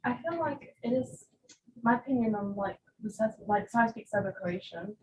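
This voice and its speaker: A woman's voice: mid-range voice, moderate pace, darkish tone, a little hiss or hum, low-quality recording. A woman in the audience asking a question, hesitant and full of "like" fillers. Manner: hesitant, filler-heavy, many "like"s.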